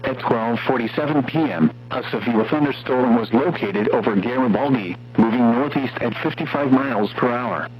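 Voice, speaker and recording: A synthetic male voice reading scripted weather warning bulletins in a formal, flat manner as an automated radio announcer. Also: medium pitch, normal speed, soft background music. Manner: formal, flat synthetic text-to-speech delivery. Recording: muffled, noisy weather radio with hum.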